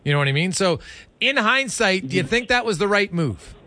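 A man's voice: sits high, animated, fairly quick, pushed and bright, no echo. A man hosting a sports radio interview, conversational and opinionated, putting his views into his questions.

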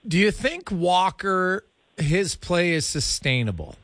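A man's voice: high voice, big pitch swings, takes it slowly, a bit bright, no room sound. A man hosting a sports radio interview, asking questions in a conversational way. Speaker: radio host.

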